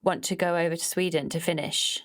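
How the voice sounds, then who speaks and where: A woman in her thirties with a very low speaking voice, interviewing a guest. She sounds curious and encouraging, with an easy, casual delivery. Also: fairly even intonation, brisk. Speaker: podcast host.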